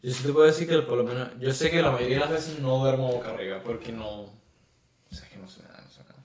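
A man's voice: mid-range voice, fast, darkish tone, recorded from a distance. A man with a Venezuelan accent, co-hosting a casual podcast chat, laughing and rambling with restarts. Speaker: podcast co-host. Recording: echoey room, off-mic, faint noise.

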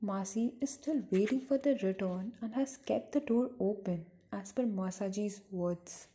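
A woman's voice: mid-range voice, unhurried, mellow, a little hiss or hum, close to an everyday voice. A woman playing a worried, earnest niece burdened by a sad secret in an amateur radio drama. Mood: sorrowful. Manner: earnest, grave.

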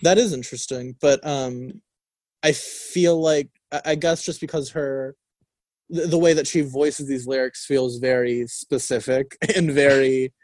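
A man's voice: medium pitch, steady tone, unhurried, a little mellow, no echo. A young man co-hosting a college radio show, chatting casually about a record with many fillers and restarts. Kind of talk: casual music review chat. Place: radio studio. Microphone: studio mic.

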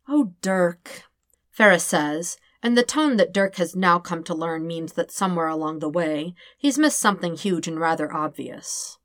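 A woman reading fan fiction aloud as an expressive narrator. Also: lowish voice, moderate pace, no echo, clean studio sound. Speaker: podfic reader.

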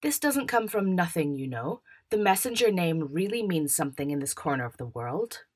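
A woman's voice: on the low side, big pitch swings, normal speed, husky. A woman playing an overbearing, self-important elder brother with a preachy, over-hearty manner in a fan audio drama.

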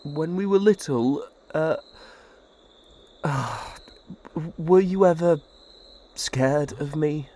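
Man acting slow-spoken, haunted older brother. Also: a little high. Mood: hesitant, troubled. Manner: hesitant, halting, earnest. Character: gentle, guilt-ridden older brother, fantasy adventurer. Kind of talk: fantasy audio drama acting. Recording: insect ambience with steady background noise.

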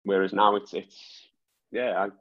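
Very low-voiced man, dull lo-fi sound. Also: level tone, slow pace, a bit bright. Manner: stammers, many restarts and fillers. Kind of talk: casual conversation.

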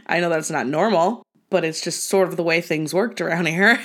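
A young woman hosting her own podcast, talking casually and bluntly with some swearing and many ums, sounding a little nasal from a cold. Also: fairly low pitch. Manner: blunt and profane, with frequent ums and laughs. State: has a cold, slightly nasal. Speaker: podcast host. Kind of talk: casual solo podcast monologue.

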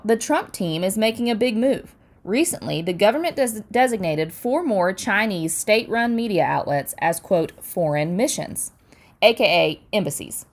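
Female news podcast host, mid-range voice, animated intonation, scripted but chatty, punchy and wry. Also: medium pitch. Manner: conversational, punchy delivery with wry asides. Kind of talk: scripted news digest narration.

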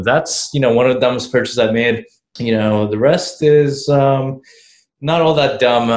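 Casting: 19-year-old man from Russia, very deep and monotone, loose rambling interview delivery. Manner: rambling, heavy on fillers like "you know" and "right?". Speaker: guest entrepreneur. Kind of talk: casual interview answers.

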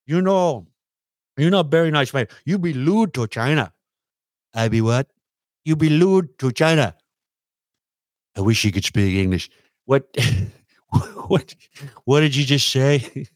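A man voicing a scolding male heckler who speaks broken English, pitched up. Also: slow pace, no room sound, studio quality, close to an everyday voice. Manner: high, mocking, broken English.

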